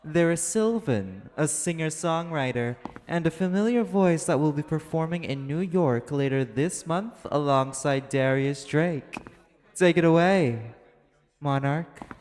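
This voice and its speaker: A man playing an apathetic, guarded socialite in a crime syndicate, speaking in a measured, deliberate way in an audio drama. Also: on the high side, a little mellow, close to an everyday voice. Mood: smooth, gracious. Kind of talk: dramatic audio roleplay acting.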